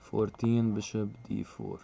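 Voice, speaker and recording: Gravelly low-voiced man commentating chess. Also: completely flat delivery, unhurried, dark tone, dull audio. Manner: casual, chuckles often, reacts to chat.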